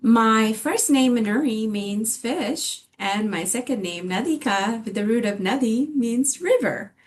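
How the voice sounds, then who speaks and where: Bright, high-voiced woman from Sri Lanka. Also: lively intonation, a little slow. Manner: cheerful, playful. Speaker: panelist. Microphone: video call.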